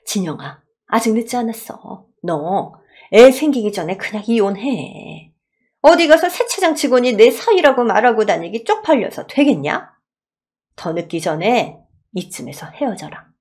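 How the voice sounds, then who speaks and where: Woman playing a scornful, shrill-pitched nagging mother-in-law. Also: lively intonation, darkish tone. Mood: disdainful urging. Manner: sighing, berating, drawn-out sarcastic emphasis. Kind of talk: Korean radio story drama.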